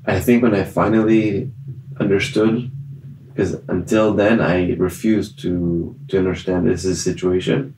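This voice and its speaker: A man's voice: low voice, steady tone, a little slow, darkish tone, a little hiss or hum. A young man from Israel, with Italian as a first language, giving an earnest personal testimony as an interview guest, often restarting his sentences. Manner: earnest, many fillers and restarts. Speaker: guest, festival survivor. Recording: echoey room.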